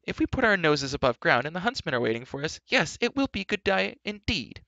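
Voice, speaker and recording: A man's voice: a little high, sing-song, fairly quick, creaky, bright, forceful tone, no echo, highs cut off, pitched above the performer's own voice, heavily acted. A man voicing a feisty, scolding young girl in a storybook reading, indignant and emphatic. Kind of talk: children's storybook character reading.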